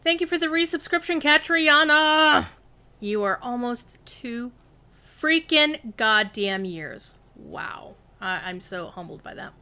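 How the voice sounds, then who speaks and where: A woman's voice: on the high side, expressive, slow pace, sharp tone. An American woman hosting a livestream of an online game, chatting casually with viewers and fellow players. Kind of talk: casual live gaming chat.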